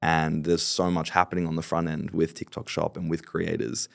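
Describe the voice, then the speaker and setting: Guy in his late 20s, podcast guest, upbeat, deep rough voice. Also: completely flat delivery, moderate pace, dry recording. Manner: confident, agreeable, upbeat about business. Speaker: podcast guest, fulfillment company founder. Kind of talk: casual interview talk.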